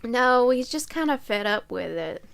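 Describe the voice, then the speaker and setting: A woman's voice: on the high side, lively intonation, unhurried, gravelly, fairly bright tone, clean tone. A woman co-hosting a podcast, talking in a casual, conversational style. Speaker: podcast co-host. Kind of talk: casual chat.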